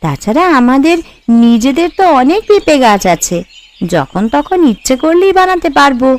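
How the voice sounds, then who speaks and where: A woman voicing a young, chatty, deferential daughter-in-law in a cartoon, sweet and animated. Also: high-pitched, moderate pace. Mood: cheerful. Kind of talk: Bengali cartoon voice acting. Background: background music.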